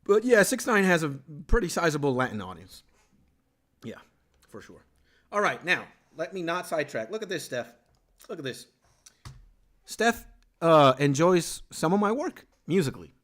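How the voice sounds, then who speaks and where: A man's voice: on the high side, moderate pace, long pauses. A man from Venezuela, a native Spanish speaker, chatting casually on a live stream about music and reading comments from chat aloud. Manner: lively, rambling, reads chat aloud.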